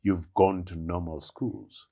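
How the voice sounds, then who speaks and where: A man with a very low, deep voice hosts a sit-down interview. He asks short questions and backs his guest up with murmured "mm"s and "wow"s. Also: fairly even intonation, slow pace, creaky, mellow. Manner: encouraging, with frequent "mm" and "wow" back-channels. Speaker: host. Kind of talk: interview conversation.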